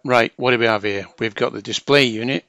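A man's voice: mid-range voice, fairly quick, sharp tone, clean tone, dry recording. A man narrating a hands-on technical tutorial as a trainer, explaining informally step by step.

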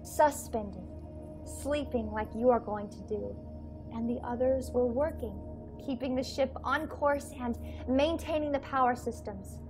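A woman in her twenties acting a gentle mother, once a starship engineer, in a radio play over a video call. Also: on the high side, measured pace, music in the background, lightly acted. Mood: soft storytelling.

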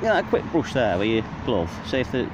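A young man with an English Midlands accent, chatting excitedly while vlogging from a riverbank, full of tag questions. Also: medium pitch, quick pace, muffled recording. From England. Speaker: magnet fishing vlogger. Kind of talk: casual outdoor commentary. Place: riverbank outdoors. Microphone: handheld or body camera. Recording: outdoor wind and traffic noise.

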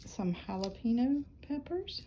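A middle-aged woman chatting casually about her seedlings in a small greenhouse, unhurried and rambling with many ums, filmed on a handheld phone. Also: on the high side, big pitch swings, dark tone, slight background noise, low-quality recording. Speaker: flower farm vlogger. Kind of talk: casual gardening vlog. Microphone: phone camera held while filming.

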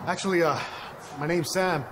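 A man's voice: on the high side, steady tone, normal speed, noisy background, lightly acted. An actor playing a polite, modest male customer at a repair shop who speaks in a mild way.

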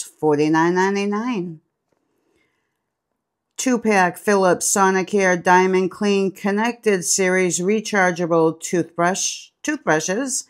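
A woman hosting her channel, steadily reading product names and sale prices off a printed flyer. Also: mid-range voice. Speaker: channel host.

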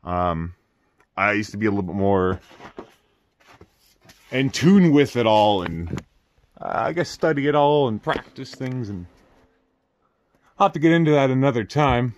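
A middle-aged man giving casual, halting, off-the-cuff commentary as he walks around outdoors with a handheld camera. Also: mid-range voice, expressive, dull audio. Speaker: exploration vlogger. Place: outdoors in snowy burned-out house ruins. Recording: clearly noisy outdoor recording with clicks.

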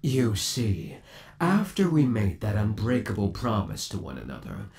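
Man acting a slow-talking, possessive undead boyfriend. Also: mid-range voice. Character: undead yandere boyfriend trapped in springlock suit, menacingly doting. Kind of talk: ASMR roleplay, scripted monologue to the listener.

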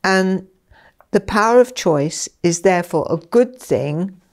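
An older woman being interviewed in a small study, explaining her work with children in an animated way and acting out little dialogues. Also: mid-range voice, slow. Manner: animated, gestures a lot, acts out dialogue. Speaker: interviewee, former school head. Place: small study with bookshelves, indoors.

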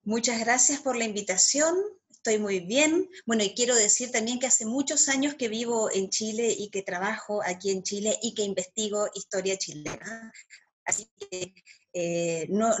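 A middle-aged woman from Argentina, a historian guest, explaining history in a measured way over a video call from home and tagging her points with "¿ah?". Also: on the high side, husky. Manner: measured, frequent "¿ah?" tags. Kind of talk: academic explanation. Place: home room. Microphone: video call laptop mic.

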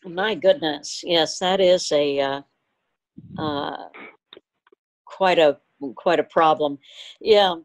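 A woman's voice: sits low, takes it slowly, pauses often. A woman chatting casually as she hosts a club meeting over a video call. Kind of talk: casual chat.

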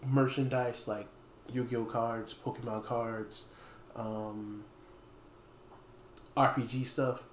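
Halting man with an even pitch, echoey room. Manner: halting, pausing mid-phrase. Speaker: small game store owner and video host. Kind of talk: casual announcement to camera. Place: dim indoor room with wooden floor. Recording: echoey room, faint background noise.

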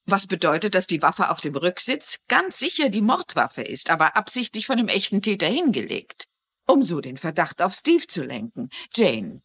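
An actress playing a shrewd, polite and persistent middle-aged crime writer in a dubbed TV mystery. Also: female voice, lowish voice, very animated, normal speed, a bit bright, breathy edge, exaggerated voice. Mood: concerned, reasoning. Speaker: amateur sleuth.

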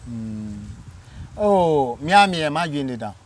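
A middle-aged Ghanaian snail farmer answering questions outdoors, unhurried and explaining step by step. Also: male voice, a little high, very animated, no echo. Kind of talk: casual interview answers. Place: outdoors on a farm under trees.

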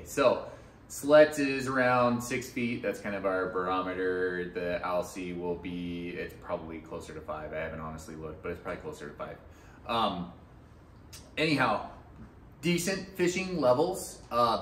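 A man's voice: deep voice, a bit bright. A man in his thirties gives a casual fishing report to the camera in a small fly shop, loose and chatty, with many fillers and restarts. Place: small fly shop with hats and rods on the walls. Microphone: camera a few feet away. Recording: roomy shop sound, mic at a distance, faint background hum.

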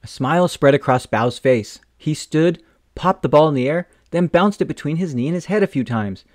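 A man reading a children's picture book aloud in a gentle, expressive storytelling manner. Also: a little high, normal speed, dry recording, studio quality. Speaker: storytime reader.

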